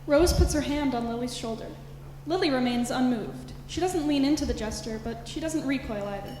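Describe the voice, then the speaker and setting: Woman reading stage directions, high voice, measured narration, lots of hall reverb. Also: a little mellow, a little hiss or hum. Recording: echoey hall, long reverb.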